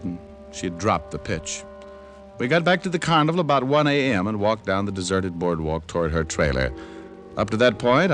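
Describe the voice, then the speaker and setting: Low-voiced, wisecracking American private eye. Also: male voice, a bit bright. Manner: breezy, sardonic, smooth-talking. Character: wry, flirtatious, wisecracking male private detective. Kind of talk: 1940s-50s radio detective acting and first-person narration. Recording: old radio recording with music behind.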